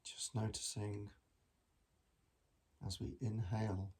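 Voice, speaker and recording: A man guiding a meditation in a gentle, measured manner with long pauses between phrases. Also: lowish voice, flat delivery, breathy edge. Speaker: mindfulness meditation teacher. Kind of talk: guided body scan meditation.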